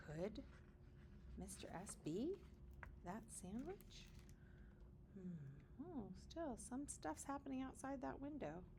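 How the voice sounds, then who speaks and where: Animated, higher-pitched woman reading a storybook aloud. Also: slight background noise. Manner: expressive, dramatic pauses, gasps and wondering asides to young listeners. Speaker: kindergarten teacher reading aloud. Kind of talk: animated picture book read-aloud with conversational asides.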